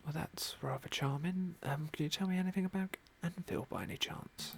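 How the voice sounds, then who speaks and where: A man giving gentle, musing ASMR roleplay commentary on a game in a soft, breathy whisper. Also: on the high side, a little fast, slight background noise. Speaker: game playthrough host.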